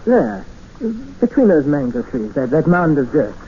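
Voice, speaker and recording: Courteous, uneasy traveller, very expressive. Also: male voice, on the high side, unhurried. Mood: curious. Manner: polite, tentative, questioning. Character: courteous, uneasy male traveller stranded in the jungle. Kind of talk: 1950s radio drama acting. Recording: muffled, hissy 1950s radio recording.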